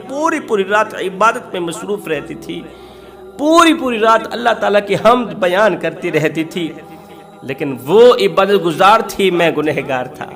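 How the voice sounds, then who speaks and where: A male preacher plays a dead sinner speaking from his grave in a religious tale. The husband's voice is very high, remorseful and mournful, repeating his confession with emphasis. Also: a bit bright, full character voice.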